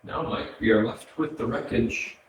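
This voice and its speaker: A man's voice: mid-range voice, normal speed, lightly acted. A man in a staged play reading, acting a murdered victim bleakly recounting his own death. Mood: somber, bleak. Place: arts center stage, indoors, recorded from afar. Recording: very echoey hall, distant mic, background noise.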